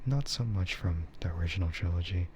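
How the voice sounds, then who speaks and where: A man streaming a casual, rambling ASMR chat in a soft, breathy voice that often slips into a whisper. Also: deep voice, a little fast, a little hiss or hum. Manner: rambling, laid-back. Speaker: streamer and VTuber host.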